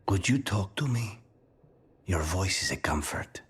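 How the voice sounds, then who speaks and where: An actor playing a brooding, devoted assassin in a video game, weary and intimate, often speaking close to a whisper. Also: male voice, sits low, lively intonation, unhurried. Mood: tender, hushed. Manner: hushed, breathy, often near whispering. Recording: faint music underneath.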